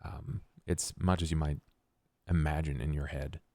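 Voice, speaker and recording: A man with a very deep, gravelly voice improvises a scene for a tabletop game. He is halting yet dramatic, and the recording is dry. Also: steady tone, mellow, no room sound. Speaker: player on actual-play podcast.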